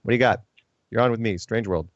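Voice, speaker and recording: A man's voice: fairly low pitch, fast talker, dry recording. A man hosting a live call-in radio show, chatting casually with dry, self-deprecating humour. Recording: dull, lo-fi broadcast sound.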